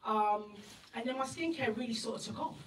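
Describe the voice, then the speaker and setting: A woman's voice: on the high side, brisk, background noise. Woman in her 30s, chatty storyteller, laughs a lot, roomy echo, mic kinda far. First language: Igbo. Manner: chatty, laughs often, acts out her own inner voice. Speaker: festival guest speaker. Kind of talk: animated interview storytelling. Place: event venue indoors. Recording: echoey room, mic at a distance.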